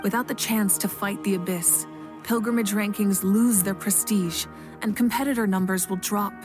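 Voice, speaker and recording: A woman playing a calm fire goddess leader. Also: mid-range voice, music in the background, lightly acted. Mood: composed. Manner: measured, patient, reasoning. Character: calm, authoritative female fire goddess ruler. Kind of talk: game voice acting.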